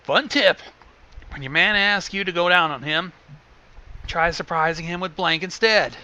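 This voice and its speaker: A man's voice: high voice, measured pace, pushed and bright, muffled recording, voice pushed up, exaggerated voice. A man voicing a folksy, easily embarrassed teenage farm girl from a cartoon, with an awkward, drawling manner. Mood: embarrassed, hesitant.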